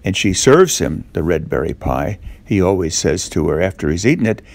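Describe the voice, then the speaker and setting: A man telling a children's story off the cuff, in a halting way with little questions to the listener. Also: low voice, big pitch swings, vocal fry, no room sound.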